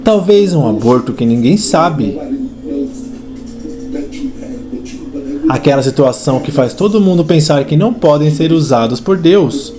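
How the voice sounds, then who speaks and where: A man's voice: on the high side, animated, normal speed, crowd noise, lightly acted. A man dubbing a fervent preacher in a sermon, speaking emphatically and with rhetorical repetition. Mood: earnest exhortation. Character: fervent male preacher exhorting a congregation. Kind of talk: dubbed sermon. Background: music.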